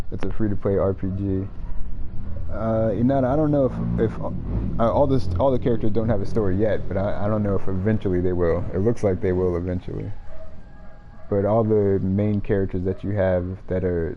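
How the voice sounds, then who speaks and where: A man chatting casually as a game streamer during a live stream. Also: low-pitched. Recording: muffled mic with faint noise.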